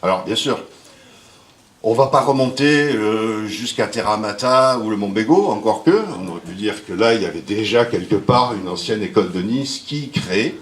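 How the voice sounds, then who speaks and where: A man's voice: fairly low pitch, animated, normal speed. A man giving a rambling, hesitant speech to an audience at a book launch. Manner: rambling, hesitant, with jokes and praise. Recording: roomy hall with faint ambience.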